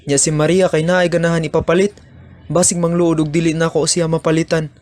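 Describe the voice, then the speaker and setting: A man's voice: a little high, flat delivery, brisk, a little mellow, lightly acted. A man with a Cebuano accent playing a lovesick, broke young boyfriend in a student radio drama. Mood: earnest.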